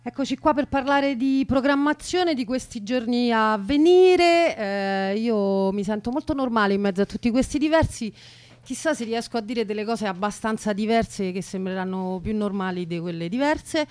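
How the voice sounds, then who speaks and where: A woman's voice: a little high, normal speed, a little mellow, smooth, no echo, faint music behind. A woman presenting a theatre's radio programme informally, rambling a little and with a wry touch.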